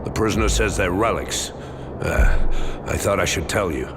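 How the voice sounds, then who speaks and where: Gravelly male prison-camp guard. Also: deep voice, moderate pace. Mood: dutiful, deferential. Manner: sneering, profane. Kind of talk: video game cutscene acting. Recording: game audio with loud background noise.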